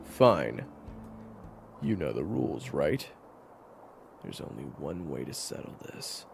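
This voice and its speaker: Man voicing a brash gruff hunter, very animated. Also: very deep voice, takes it slowly, faint music behind, voice pushed down, full character voice. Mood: gruff, determined. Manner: blunt, excitable, goading. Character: gruff, brash, teasing male vampire hunter. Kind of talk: audio roleplay acting.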